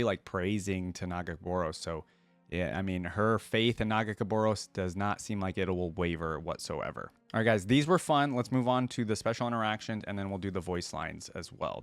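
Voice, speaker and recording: A man's voice: lowish voice, no echo. A man hosting a reaction video, chatting informally to viewers in casual commentary on a close mic. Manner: informal, conversational, addresses viewers. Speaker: host of a reaction channel.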